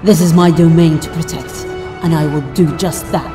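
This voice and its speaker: A man's voice: a little high, steady tone, normal speed, music in the background, natural voice. A man playing a proud, defiant warrior guardian, declaiming in an audio drama. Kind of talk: superhero audio drama acting.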